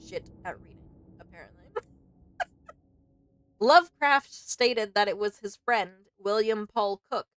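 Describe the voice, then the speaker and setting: A woman giving casual, expressive let's-play commentary as a gaming streamer, informal and prone to swearing. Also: medium pitch, a little slow, pauses often, no room sound, rough recording.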